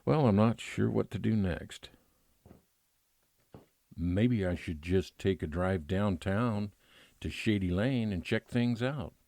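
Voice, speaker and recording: Guy acting a weary old-time radio detective, low voice, dry close sound, measured and reflective. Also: gravelly, a little mellow, dry recording. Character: weary, nostalgic male private investigator narrating his case.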